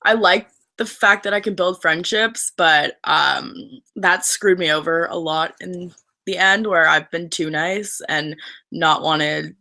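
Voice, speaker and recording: A woman's voice: lowish voice, slow pace, vocal fry, pushed and bright. A woman in her twenties from Canada, talking candidly and casually about herself and swearing now and then. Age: 20s. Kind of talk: casual self-introduction.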